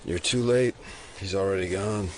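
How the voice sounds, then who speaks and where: An actor playing a wry, stubborn federal agent in an audio drama, with a dry, wisecracking delivery. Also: male voice, sits low, normal speed, slight background noise, lightly acted, low-quality recording. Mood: wry, urgent. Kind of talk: naturalistic audio drama acting.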